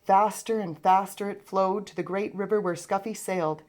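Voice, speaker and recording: A woman narrating a children's storybook aloud in an expressive storytelling manner. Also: mid-range voice, moderate pace. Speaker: storybook reader.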